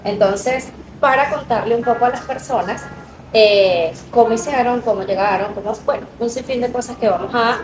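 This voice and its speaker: A woman's voice: on the high side, normal speed, echoey room, background noise. Venezuelan woman hosting a podcast. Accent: Venezuelan Spanish. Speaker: podcast host. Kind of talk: casual interview.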